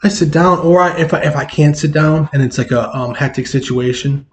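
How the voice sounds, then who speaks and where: Loud-voiced man, always asking "right?". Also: on the high side, fairly quick. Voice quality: loud voice that carries. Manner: tags sentences with "right?"; candid, repeats and restarts words. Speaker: social worker guest. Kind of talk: casual conversation.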